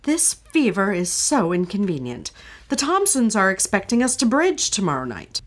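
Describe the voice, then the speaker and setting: An actress playing a cold, scheming wealthy wife in an old-time radio drama, haughty and scolding. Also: female voice, medium pitch, wildly swooping pitch, moderate pace. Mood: scornful.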